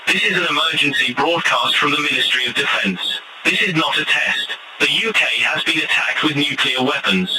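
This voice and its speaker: A man's voice: on the high side, lively intonation, moderate pace, bright, forceful tone, a little hiss or hum. A man voicing a stern government emergency broadcaster, reading an official warning in a formal, authoritative way. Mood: stern, urgent. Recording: distant radio-like sound, heavy background din.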